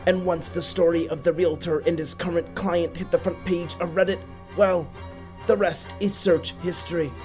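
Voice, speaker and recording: A man with a somewhat high-pitched voice tells a scripted story as a narrator. His delivery is theatrical and solemn, with a literary feel. Violin music plays faintly underneath. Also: steady tone, normal speed, a little mellow. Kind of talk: scripted dramatic storytelling for a puppet show.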